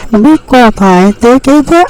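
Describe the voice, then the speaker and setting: A performer voicing a small, hopeful, hardworking bird in a fairy-tale cartoon, with drawn-out storytelling delivery. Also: medium pitch, animated, a little mellow, close to an everyday voice. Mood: reproachful. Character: Tuni, small hopeful hardworking bird guarding her date palms.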